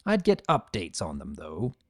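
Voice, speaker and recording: A narrator giving an expressive dramatic reading of a scripted story. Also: sits low, a little slow, no echo, studio quality. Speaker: narrator of a fan fiction reading. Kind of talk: dramatic scripted narration in first-person character.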